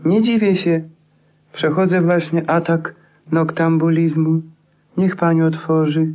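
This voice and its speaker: A man's voice: fairly high pitch, flat delivery, unhurried, darkish tone, lo-fi sound, close to an everyday voice. An actor performs a moonstruck male dreamer from a 1950s radio play. He declaims grandly and theatrically, taking his time over every phrase as an eccentric night wanderer. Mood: eager, insistent.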